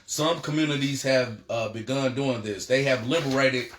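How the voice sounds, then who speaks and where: A man's voice: medium pitch, moderate pace, a bit bright. An American man giving a political education lecture in an emphatic, rallying manner, often stumbling and repeating himself. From United States. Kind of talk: impassioned political lecture with read-out passages. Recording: roomy, echoing sound.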